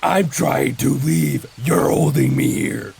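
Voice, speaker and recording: A man voicing a petulant, evasive vigilante in an improvised comic audio drama, stammering through excuses in a gravelly voice. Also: mid-range voice, big pitch swings, slow, darkish tone, exaggerated voice. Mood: grumpy, evasive. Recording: clear background noise with chirping crickets.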